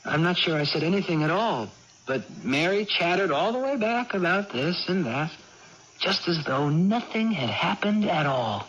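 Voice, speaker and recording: An actress playing an earnest, excitable young person with an emphatic, awed way of speaking in a radio drama. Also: female voice, on the low side, moderate pace, natural voice. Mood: amazed recounting. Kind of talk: 1980s radio drama acting. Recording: muffled, noisy old radio broadcast.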